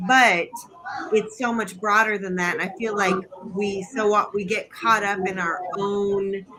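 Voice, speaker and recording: A woman's voice: mid-range voice, low-quality recording. An American woman whose first language was not English, speaking earnestly as a guest on a live political panel. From United States. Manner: earnest, emphatic, with restarts. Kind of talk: live political discussion on a video call.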